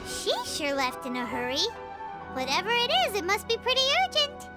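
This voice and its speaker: A woman voicing a small, chirpy, childlike fairy companion with a squeaky, excitable delivery in an animated video game. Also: normal speed. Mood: curious. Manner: refers to self in third person, excitable. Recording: music behind the voice.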